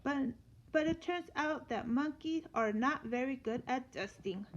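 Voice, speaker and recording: A woman teacher reading a children's story aloud in an expressive storytelling manner. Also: high voice, a little slow. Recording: dull lo-fi sound with light noise.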